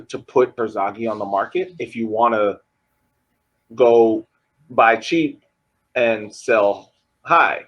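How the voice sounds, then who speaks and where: A man with a husky, slightly raspy voice co-hosting a sports podcast. He talks in a casual way, circles back and restarts his sentences as he builds an argument. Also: mid-range voice, rough recording. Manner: hesitant, restarts often while building an argument. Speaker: podcast co-host.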